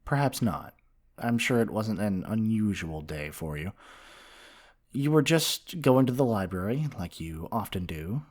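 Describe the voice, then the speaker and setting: A man's voice: fairly low pitch, lively intonation, measured pace, a little mellow. A man playing a flirty, possessive half-dragon librarian in an intimate ASMR roleplay, speaking earnestly and affectionately with tender endearments. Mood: fond, reminiscing. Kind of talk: ASMR romantic roleplay monologue.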